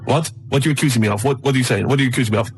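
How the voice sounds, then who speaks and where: A man's voice: mid-range voice, racing, slight background noise, lightly acted. A man voicing a quarrelsome, indignant foreign customer in a comic radio anecdote, protesting repetitively in broken English.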